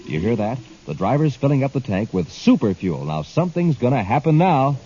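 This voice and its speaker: A man announcing a 1950s radio commercial for children with an excited, folksy hard sell. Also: medium pitch, brisk, background noise. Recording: dull old radio recording.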